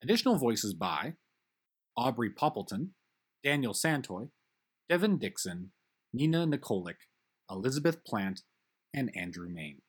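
A man's voice: mid-range voice, very animated, measured pace, pauses often, slightly rough. A man reading the closing credits and a friendly outro for an audio drama. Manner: friendly, upbeat, conversational.

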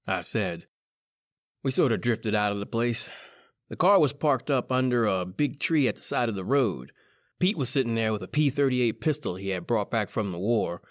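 A man's voice: mid-range voice, no echo, studio quality, close to an everyday voice. An actor playing a bossy, hard-boiled American male crook who leads a gang in an old-time radio crime drama, talking in curt, tough slang. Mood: matter-of-fact.

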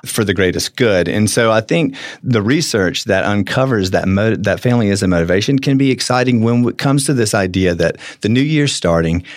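Low-voiced earnest middle-aged man. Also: animated, a little fast, dry recording, clean studio sound. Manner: earnest, many restarts, laughs easily. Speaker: co-host. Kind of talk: casual studio talk. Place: radio studio.

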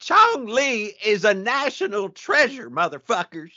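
A man playing an irate, foul-mouthed loudmouth wrestling manager ranting in a comedic audio play. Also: sits high, big pitch swings, measured pace, no room sound, heavily acted. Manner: ranting, profane, indignant. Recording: muffled, music underneath.

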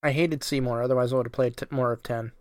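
A man's voice: mid-range voice, steady tone, fast talker, crowd noise. A man co-hosting a gaming podcast, chatting with enthusiasm and swearing casually. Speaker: podcast co-host.

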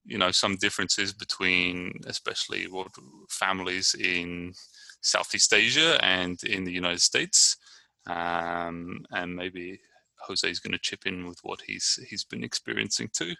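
Podcast host: man, low gravelly voice, bright and forceful, dry close sound, chatty with lots of uh. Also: measured pace, no room sound. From Brighton, England. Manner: relaxed, filler-heavy questions. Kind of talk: casual podcast hosting.

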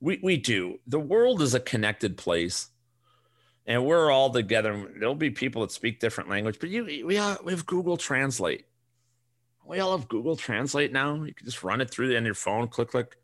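A man's voice: mid-range voice, very animated, moderate pace, fairly bright tone. A middle-aged man with a Chicago accent, hosting a casual solo podcast and ranting in a blunt, profane, rambling way. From Chicago area. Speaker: podcast host.

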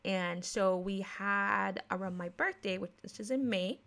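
A woman's voice: mid-range voice, measured pace, creaky, a bit bright. A woman from Jamaica with a Jamaican accent mixed with American speech, hosting her own podcast in a chatty, casual way, with many fillers and restarts and laughing at herself. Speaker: podcast host. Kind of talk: casual solo podcast talk on investing.

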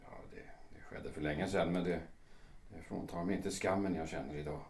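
Low, halting middle-aged lawyer. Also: male voice, steady tone, creaky, a little mellow, a little hiss or hum. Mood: ashamed, halting. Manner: halting, formal, old-fashioned phrasing. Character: ashamed, hesitant 58-year-old lawyer, defensive brother. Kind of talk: radio drama acting. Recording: roomy, echoing interior.

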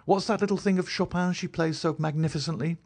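A man voicing a sharp, clever male detective who asks quick, commanding questions. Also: fairly high pitch, steady tone, pitched above the performer's own voice. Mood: brisk. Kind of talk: audiobook character voice.

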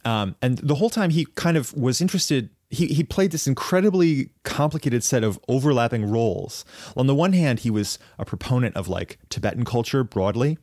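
A man on a podcast explaining a piece of history at length in a casual, thoughtful way, often pausing and restarting his sentences. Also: mid-range voice, animated, a little mellow, no echo, clean studio sound. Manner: thoughtful, halting, many fillers and restarts. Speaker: podcast co-host.

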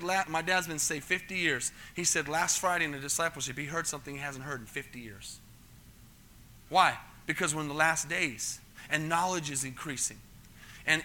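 A man's voice: on the high side, pushed and bright. A middle-aged American man preaching a sermon, teaching in a conversational way, repeating words and checking that the congregation follows. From United States. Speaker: pastor.

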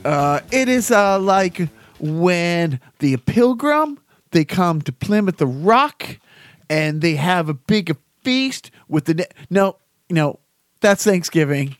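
A man voicing a muddled man at heaven's gate with a put-on Portuguese accent, speaking halting broken English. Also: high voice, very animated, no room sound, clean studio sound, heavily acted.

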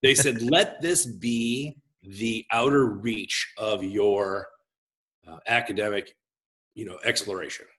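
A man in his forties with a big voice, telling his own story as a pastor and guest in an expressive, gregarious, halting conversational way. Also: lowish voice, rough recording. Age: 40s. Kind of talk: reflective personal storytelling in conversation.

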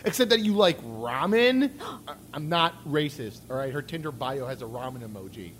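Brash, whiny young slacker guy, acted, very animated. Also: medium pitch, moderate pace, a little hiss or hum, close to an everyday voice. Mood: defensive. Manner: defensive, swearing. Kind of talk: comedic audio drama acting. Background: music.

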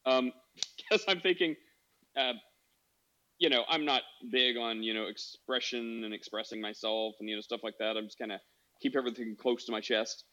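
A man hosting a casual call-in discussion, relaxed and jokey with loose phrasing. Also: mid-range voice, moderate pace. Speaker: host. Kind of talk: casual chat. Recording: dull lo-fi audio.

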